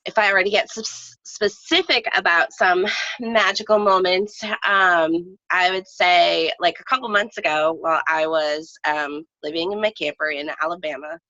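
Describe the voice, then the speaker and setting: Female interview guest, low but bright voice, thoughtful and halting, casual reflective delivery, dry close sound. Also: steady tone. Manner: hesitant, thoughtful, searching for words. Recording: slightly dull, echoey room audio.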